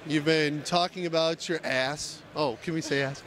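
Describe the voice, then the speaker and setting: A man's voice: fairly high pitch, fairly even intonation, unhurried, background noise. A young man interviewing a guest at a busy expo on a handheld mic, teasing and laughing as he asks questions. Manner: teasing, chatty, laughs often. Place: busy expo hall, indoors.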